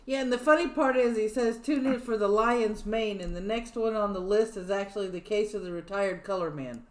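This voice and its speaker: A woman giving dry, deadpan commentary over an old radio show as a stream host. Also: mid-range voice, brisk.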